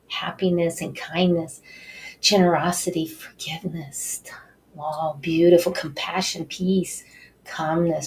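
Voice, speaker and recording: Soft-spoken woman guiding a meditation. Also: fairly low pitch, slight background noise, rough recording. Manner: soft, slow, breathy, drifting into whispers. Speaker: meditation guide. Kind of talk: guided healing meditation.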